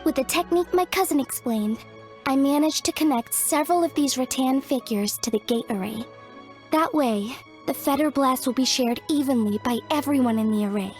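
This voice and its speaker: A woman's voice: high voice, moderate pace, a little mellow, soft background music, natural voice. A woman voicing an earnest young craftswoman in a video game, explaining things carefully.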